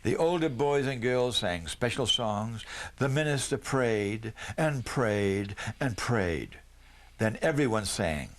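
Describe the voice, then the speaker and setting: A man's voice: mid-range voice, animated, takes it slowly. Man reading a children's Christmas story aloud. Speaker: storybook reader.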